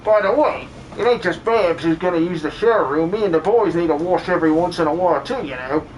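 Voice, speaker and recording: A man's voice: a little high, expressive, fast talker, a little hiss or hum, natural voice, poor audio. A man voicing a loyal, protective working-class miner in a comic game dub. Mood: earnest, warning.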